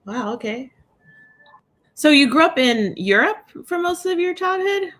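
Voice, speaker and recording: A woman in her thirties moderating a video call panel, animated and laughing often as she talks. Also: high-pitched, a little slow. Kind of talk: conversational panel discussion. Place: indoors in a home room, on a video call.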